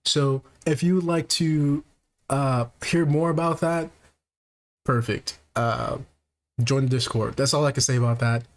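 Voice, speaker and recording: A man of middle pitch talks straight to his viewers in a soft, low-key voice. He stops and restarts often and fills his pauses with "um" and "right?". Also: fairly even intonation. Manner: soft-spoken, halting, many fillers and restarts. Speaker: podcast host; technician. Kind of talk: casual monologue to viewers.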